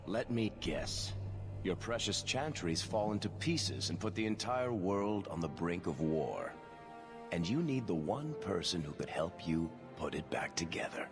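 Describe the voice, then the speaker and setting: A man playing a wry, roguish storyteller in a video game, smooth-talking and dramatic. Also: low-pitched, sing-song, measured pace, music in the background, full character voice. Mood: sardonic. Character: wry, roguish male storyteller under interrogation.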